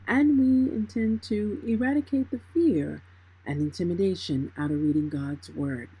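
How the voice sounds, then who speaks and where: A woman's voice: fairly low pitch, dark tone, husky, low-quality recording. A woman hosting a Bible reading livestream, speaking to listeners in a friendly way, both chatting and reading aloud. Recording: music playing behind the voice. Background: background music.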